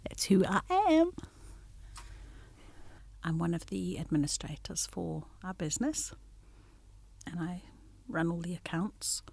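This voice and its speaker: A woman's voice: fairly low pitch, expressive, takes it slowly, vocal fry, long pauses, dark tone, breathy edge. A woman in her sixties, a business administrator, introducing herself in a casual family interview with teasing, playful humour.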